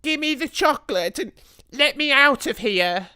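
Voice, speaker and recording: A woman's voice: high-pitched, lively intonation, unhurried, sharp tone, dry recording, clean studio sound, pitched above the performer's own voice, exaggerated voice. A woman voicing a greedy, rude young boy with a whiny, demanding tone in a storybook reading. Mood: bratty demanding.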